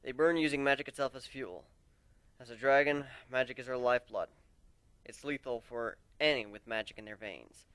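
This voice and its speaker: A man narrating a dramatic first-person story in an expressive, conversational way. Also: mid-range voice, moderate pace, clean tone, no room sound.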